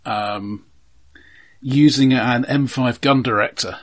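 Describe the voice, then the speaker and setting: A man co-hosting a film podcast, casually explaining things in a halting, conversational way. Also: medium pitch.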